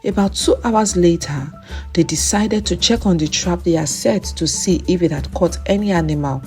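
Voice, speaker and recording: Calm woman narrating a kids' folktale. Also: on the low side, normal speed, faint music behind. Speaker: storyteller. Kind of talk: scripted folktale narration for children.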